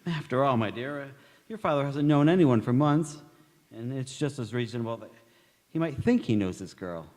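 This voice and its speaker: An actor playing a reassuring, reasonable, calmly practical husband in a stage radio play. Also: male voice, mid-range voice, normal speed, natural voice. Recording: echoey hall.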